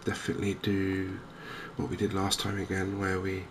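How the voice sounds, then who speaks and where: A man giving softly spoken ASMR commentary on a game, hushed, hesitant and unhurried, close to the microphone. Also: sits low, monotone. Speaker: gaming video host. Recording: clear background noise.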